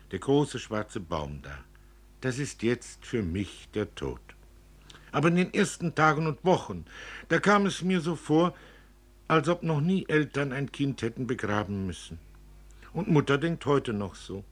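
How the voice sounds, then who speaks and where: A man reading the part of a tender, solemn father who muses gently and philosophically about death in a literary story. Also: mid-range voice, big pitch swings, measured pace, voice pushed up. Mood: solemn, gentle. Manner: earnest, murmuring, philosophical.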